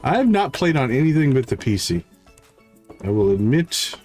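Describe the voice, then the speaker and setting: A man's voice: medium pitch, big pitch swings, moderate pace, a little mellow, faint music behind. A man hosting a live game stream, thinking aloud in short casual fragments and chatting to viewers, with a slight catch in his throat. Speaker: livestream host, gamer.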